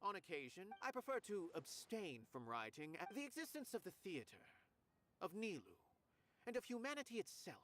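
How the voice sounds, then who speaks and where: A man's voice: high-pitched, huge pitch swings, normal speed, fairly bright tone, exaggerated voice. A man voicing an aloof, philosophical scholar in a video game, speaking in a formal, pedantic manner.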